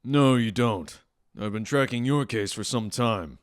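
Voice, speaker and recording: An actor playing a stern, blunt academy admissions officer in an audio drama, measured, dry and authoritative. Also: male voice, medium pitch, close to an everyday voice. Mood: dry, official.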